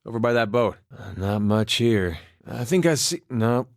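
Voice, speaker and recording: A man's voice: medium pitch, animated, normal speed, creaky, no echo, studio quality. A man voicing a laid-back, wry farmer turned soldier in a video game, with a plainspoken, understated folksy manner. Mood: searching, casual. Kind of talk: performed game dialogue.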